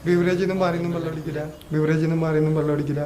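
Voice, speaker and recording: A man's voice: fairly high pitch, level tone, mellow, slight background noise, close to an everyday voice. An actor playing a meek man reciting promises to police in a film comedy. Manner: rapid, repetitive recitation. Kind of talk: naturalistic film comedy acting. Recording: crowd behind, film audio.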